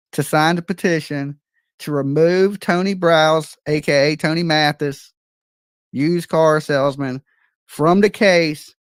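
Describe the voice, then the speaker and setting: A man's voice: fairly high pitch, no room sound, clean studio sound. A man hosting a casual livestream, talking in a blunt, joking, informal way. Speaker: livestream host.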